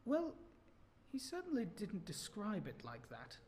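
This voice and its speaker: An actor in a period radio drama playing a young, well-spoken English ex-soldier, jovial and full of old-fashioned exclamations. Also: male voice, sits high, huge pitch swings, unhurried, a little mellow, a little hiss or hum, exaggerated voice. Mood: intrigued.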